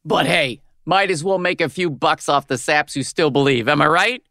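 A radio host in her fifties in a comedy audio drama. She is brash and cynical, with a gravelly, creaky smoker's voice recorded dry and close. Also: deep voice, normal speed, fairly bright tone, no room sound. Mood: pushy, profane. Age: 50s. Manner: brash, foul-mouthed, switching to syrupy on air. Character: crude, cynical, hard-bitten 57-year-old female radio host.

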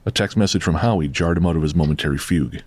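A man acting as the measured narrator of a horror audio drama. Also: deep voice, darkish tone, breathy edge. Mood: measured, ominous. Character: measured male narrator of a ghost story.

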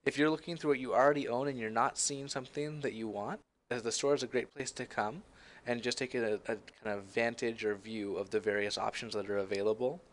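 A young man walks through a software program on a screen recording, speaking into a desk mic in a friendly, explanatory tone. His voice sits at an ordinary middle pitch, relaxed and conversational as he points things out. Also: a little fast. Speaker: software tutorial presenter.

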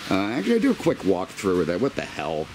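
A man's voice: deep voice, wildly swooping pitch, fast talker, a little mellow, slight background noise. A middle-aged American man giving a rambling, casual car walkaround with wry, self-deprecating, exasperated humor and mild swearing. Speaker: car review vlogger.

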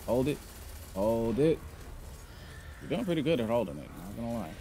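A man's voice: medium pitch, big pitch swings, moderate pace, warm tone, slight background noise. A young man giving sarcastic, trash-talking commentary while playing a game. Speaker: gamer. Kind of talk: gameplay commentary.

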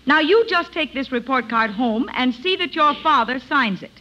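A woman's voice: high-pitched, lively intonation, normal speed, sharp tone, slight background noise. A woman playing a stern, exasperated schoolteacher, prim and scolding, in a vintage radio comedy. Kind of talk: 1950s radio comedy acting. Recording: muffled vintage radio recording.

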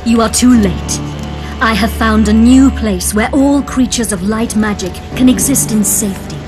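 An actress playing a solemn, defiant elf queen in a video game, speaking in a stately, measured way. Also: on the high side, over music, lightly acted. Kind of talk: video game cutscene acting.

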